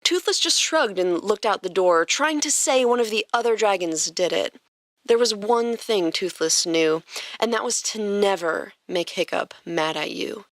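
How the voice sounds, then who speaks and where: A woman hosting a podcast and reading a story aloud as expressive scripted narration. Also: medium pitch, a little slow, no echo. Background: music.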